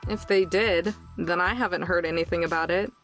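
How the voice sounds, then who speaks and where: An actress playing a guarded young woman in a visual novel, dry and deadpan, with faint music underneath. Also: lowish voice, a little fast, lightly acted. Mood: practical, cautious. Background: game music.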